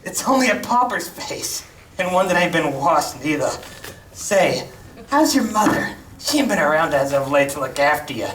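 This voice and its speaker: A woman's voice: sits low, big pitch swings, normal speed. A woman playing a bullying young shop assistant in a school stage play, with coarse, taunting speech. She is recorded from far off in a roomy hall. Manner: coarse taunting working-class speech, cackling. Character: sneering bullying teenage boy assistant. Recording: distant roomy stage recording, faint noise.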